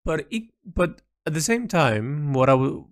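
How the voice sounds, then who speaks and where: A man recording a reflective, unscripted audio diary, thinking aloud haltingly with repeats, in a creaky voice. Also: fairly high pitch, very animated, no room sound, clean studio sound. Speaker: painter recording an audio log.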